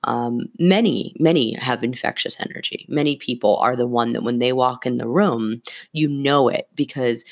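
Female podcast host, casual solo monologue, candid and emphatic, gravelly vocal fry, slightly scratchy. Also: very deep voice, normal speed. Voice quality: slightly scratchy early-morning voice.

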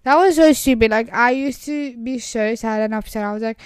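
Young woman, Urdu accent, native Urdu speaker, slightly high voice, dry close recording, casual rambling podcast talk. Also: normal speed, smooth, no room sound. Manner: rambling, frequent "like" fillers and restarts. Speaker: podcast host. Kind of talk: casual solo podcast monologue.

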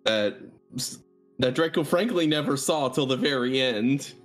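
A man with an average-pitched voice speaks as a player in a tabletop game. He recounts his character's deeds in deliberate, measured phrases. There is faint music behind him.

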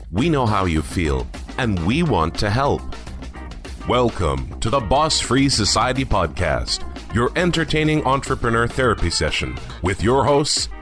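A man's voice: lowish voice, a bit bright. A man reading a punchy, teasing podcast intro. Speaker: show announcer. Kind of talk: scripted podcast intro over music. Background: music.

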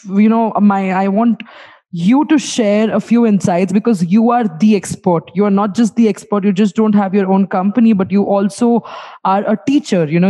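A chatty woman host, a small business owner, asking questions over a video call. Her voice is dark and mellow. She repeats words and laughs often as she talks. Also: medium pitch. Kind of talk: casual interview talk.

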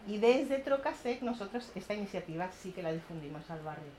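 A middle-aged woman from Chile with a Chilean accent, speaking at a community talk and telling lively stories about her neighborhood projects. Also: medium pitch, brisk. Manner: animated storytelling, laughing often, acting out voices of others. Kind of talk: public talk with audience questions. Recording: echoey room, some background noise.

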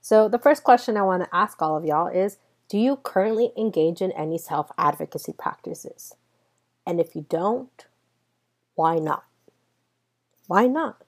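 A woman in her twenties whose first language is Spanish hosts her own podcast. She tells personal stories in an earnest way and often speaks straight to her listeners. Her voice sits a little low. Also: animated, measured pace, pauses often. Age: 20s. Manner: earnest personal storytelling, addresses listeners directly. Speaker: podcast host. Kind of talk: solo podcast monologue.

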